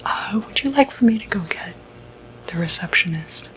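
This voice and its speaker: A woman whispering an ASMR roleplay as a sympathetic, non-judgmental doctor, speaking gently with reassuring, hesitant pauses. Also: mid-range voice, animated, low-quality recording. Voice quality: breathy, unvoiced whisper. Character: sympathetic, non-judgmental doctor who treats demonic possession.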